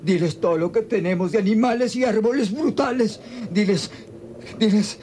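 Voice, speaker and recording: Radionovela role, male actor: dying-sounding old Mexican patriarch, very high, wailing, melodramatic, delirious. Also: moderate pace, mellow, lightly acted. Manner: melodramatic, moaning, rambling. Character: delirious feverish old ranch patriarch, anguished, defiant father. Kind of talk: old Spanish-language radionovela acting. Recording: noisy old radio drama recording.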